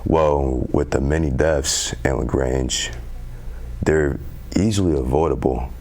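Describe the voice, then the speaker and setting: Deep-voiced earnest teenage boy. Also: darkish tone. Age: teens. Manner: earnest, measured, halting with fillers. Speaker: youth council member. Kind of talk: community TV interview.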